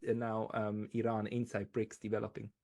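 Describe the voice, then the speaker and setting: A man's voice: on the low side, completely flat delivery, normal speed, vocal fry, a little mellow. A man speaking as an interviewer in a conversational style.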